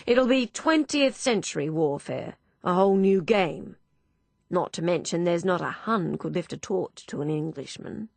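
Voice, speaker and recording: A woman voicing an old aristocratic lord who proclaims in slow, jovial bluster. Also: lowish voice, expressive, gravelly, dry recording, full character voice.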